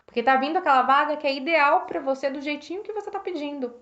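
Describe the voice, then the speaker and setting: A Brazilian woman with a slightly hoarse voice, reflecting casually on a book for her live viewers, with many restarts, thanking people by name. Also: sits high, fast talker, fairly bright tone. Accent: Brazilian Portuguese. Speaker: host reading and reflecting on a self-help book. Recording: roomy, dull lo-fi live stream.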